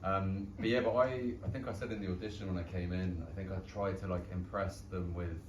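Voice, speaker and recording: A man's voice: low voice, fairly even intonation, brisk. A young man with a London Irish accent, an actor chatting casually and self-deprecatingly after a show. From London and Dublin. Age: young adult. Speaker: stage actor. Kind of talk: casual post-show talk. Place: small pub theatre, indoors. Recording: echoey room, distant mic, background noise.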